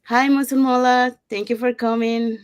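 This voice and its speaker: A woman's voice: fairly high pitch, little pitch movement, normal speed, clear, resonant tone, no room sound. Lady from panama hosting a webinar, chill welcoming vibe, pretty dry sound. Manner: welcoming, with frequent fillers. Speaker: host.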